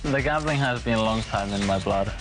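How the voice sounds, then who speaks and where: A man from Finland, a poker player talking in an interview in a flat, understated, matter-of-fact way. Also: fairly low pitch, a little fast, over music, poor audio.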